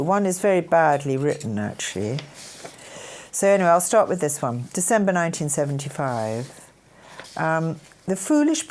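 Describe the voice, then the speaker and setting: Older British female, low voice, earnest reader of spiritual messages, formal when reading. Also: a little mellow. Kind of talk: spiritual talk with read-aloud dictations.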